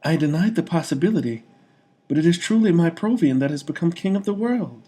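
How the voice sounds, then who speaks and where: Devoted young noblewoman for a fantasy audiobook, earnest and gushing, raised pitch, played by a male narrator. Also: animated, fairly quick, dark tone. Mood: joyful. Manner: gushing, earnest, full of hope.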